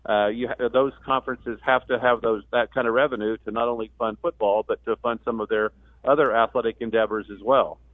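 A man talking as a guest sportscaster on a sports talk radio show, explaining things in a halting way with repeated words. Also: medium pitch, fairly even intonation, no echo. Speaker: radio play-by-play announcer as guest. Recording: thin phone-like line.

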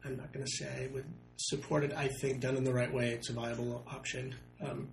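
Young man, council candidate, flat monotone delivery, gravelly fry, roomy echo, faint hum. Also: medium pitch, fairly quick. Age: young adult. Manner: earnest, hedging with "I think" and "I mean". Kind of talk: candidate interview.

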